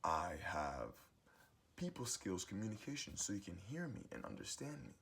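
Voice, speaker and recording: A young adult man with a booming voice gives a preachy, emphatic motivational monologue as host of his own series. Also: wildly swooping pitch, moderate pace, creaky, a bit bright, a little hiss or hum. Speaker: host giving advice monologue.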